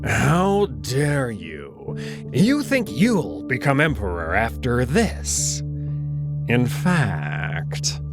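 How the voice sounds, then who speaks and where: A man's voice: mid-range voice, wildly swooping pitch, music in the background, full character voice. Man voicing a stern, imperious wolf commander. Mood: enraged. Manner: stern, slow, contemptuous, imperious. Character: Kato, menacing commanding male alien wolf elder.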